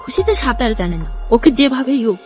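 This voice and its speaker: Woman playing a sly, high-pitched scheming aunt. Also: expressive, fast, music in the background. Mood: spiteful, plotting. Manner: sly, coaxing, plotting. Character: scheming, spiteful village aunt, cartoon villainess. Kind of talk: Bengali children's cartoon voice acting.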